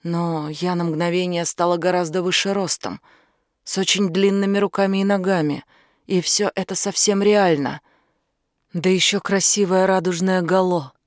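A woman's voice: lowish voice, fairly even intonation, moderate pace, no echo, close to an everyday voice. Woman voicing an insistent, curious young woman, dry sound. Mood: wondering. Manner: questioning, insistent. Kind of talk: audiobook character dialogue.

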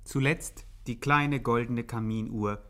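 A man's voice: mid-range voice, measured pace, a bit bright. A man narrating a 1950s radio serial in a formal, precise, mock-serious way.

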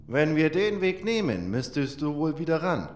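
A man's voice: fairly high pitch, sing-song, moderate pace, darkish tone, roomy sound, voice pushed up. A man voicing a teasing, coaxing male adventurer in a fantasy audio drama.

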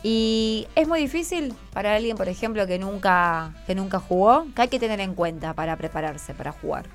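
A woman's voice: mid-range voice, lively intonation, normal speed. A woman with a Buenos Aires accent hosts a conversational radio interview, prompting and encouraging her guests with short questions. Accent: Buenos Aires Spanish. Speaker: radio host interviewing schoolchildren. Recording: music playing under the voice. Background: background music.

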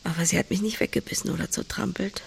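An actress playing a blunt, tender pig-farming countrywoman in an audio drama, often speaking in a hushed, intimate half-whisper. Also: on the low side, steady tone, quick pace, creaky, slight background noise. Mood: hushed, reflective. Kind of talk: naturalistic audio drama acting.

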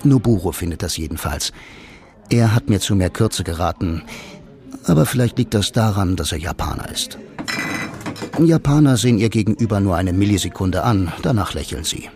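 An actor playing a lonely, wistful noir romantic who narrates his own story in a measured, deadpan way for a radio play. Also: low voice. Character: blind lonely bank clerk, film-noir romantic, dry and wistful. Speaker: first-person narrator and lead.

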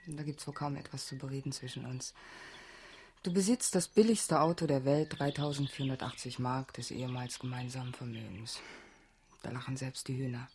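A woman's voice: rumbling low, moderate pace, a little mellow. A wary divorced mother in a naturalistic radio play. She is curt and scornful, with slight noise in the background. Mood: dismissive. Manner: curt, sardonic. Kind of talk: naturalistic German radio drama acting.

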